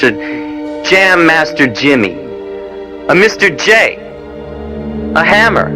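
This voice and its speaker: Man, fairly high voice, extremely slow theatrical poetry recital, broken phrases, clear music behind. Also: sharp tone.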